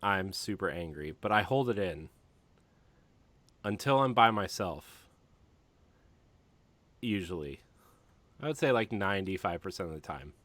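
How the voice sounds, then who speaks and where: A man co-hosting a podcast in casual chat, talking in a rambling, halting, self-deprecating way. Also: sits low, animated, a bit bright, dry recording. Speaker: podcast co-host.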